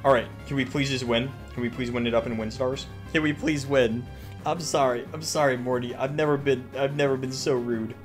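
An excitable young man giving casual, profane, slangy gameplay commentary, repeating himself as he goes. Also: mid-range voice, brisk. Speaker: gaming YouTuber. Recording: music behind the voice.

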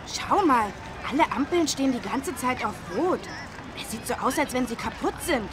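Young cartoon schoolboy, high lively voice, eager, knowing, helpful, street noise behind. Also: female voice, normal speed. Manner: eager, explanatory. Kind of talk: children's learning game voice acting.

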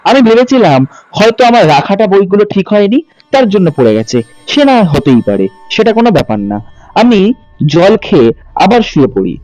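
A man's voice: sits high, very animated, normal speed, darkish tone, no echo. A man narrating a horror story as a channel host. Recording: muffled lo-fi audio with music behind. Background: music bed.

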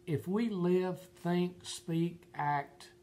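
A man's voice: high voice, extremely slow, dark tone. An older man giving informal Bible teaching in an earnest, emphatic way, often repeating his phrases. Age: older, about 70s. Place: furnished room at home.

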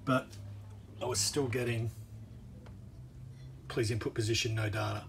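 A man's voice: medium pitch, fairly even intonation, unhurried. Man explaining casually over background noise. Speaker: boat owner giving a DIY walkthrough. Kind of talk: casual off-the-cuff tutorial. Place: on a boat. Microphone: handheld phone. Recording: handheld phone recording, clear ambient noise.

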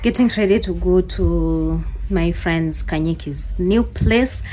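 A woman's voice: lowish voice, slow, mellow. A young woman with a Kenyan accent chatting casually and informally to a handheld camera as she vlogs, slipping between two languages in slangy speech. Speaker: vlogger. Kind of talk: casual vlog chat, mixing English and Swahili. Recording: noisy handheld vlog, car and room ambience.

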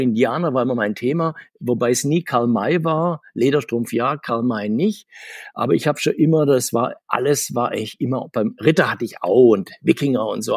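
Man, 60s, husky voice, rambling chatty guest, video call. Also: medium pitch, a little mellow, no echo, clean studio sound. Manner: rambling, chatty, laughs between points. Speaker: interview guest, comics marketer. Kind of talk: casual interview talk. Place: home room full of figures.

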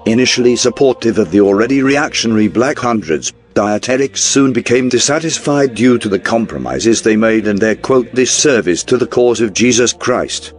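A man reading scripted documentary narration in a formal, measured newsreel style. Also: mid-range voice, animated. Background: background music.

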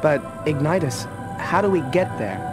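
Casting: youthful dragon adventurer in a fantasy game, boyish and expressive, earnest with dry comebacks, over music. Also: male voice, on the high side, moderate pace. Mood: puzzled. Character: young male dragon hero, earnest and plucky. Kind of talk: video game cutscene acting. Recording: music behind the voice.

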